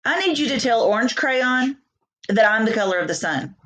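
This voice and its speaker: A woman's voice: on the high side, lively intonation, moderate pace, a bit bright, pitched above the performer's own voice. A woman voicing a proud, petty, indignant crayon in a storybook, boastful and insistent.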